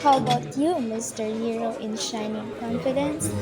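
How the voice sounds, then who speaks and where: Polite, playful young lady, acted by a woman in radio drama; high voice over clear music. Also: normal speed, over a noisy crowd, natural voice. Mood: playful, chatty. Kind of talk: student radio drama acting.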